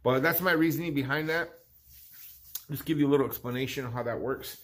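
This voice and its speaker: A man's voice: mid-range voice, fairly quick. A man in his fifties with a Southern California accent, a barbershop owner talking casually to his phone camera in his small shop, rambling and repeating words. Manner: rambling, repeats words, addresses viewers as guys. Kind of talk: casual vlog talk to camera. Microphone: handheld phone at arm's length.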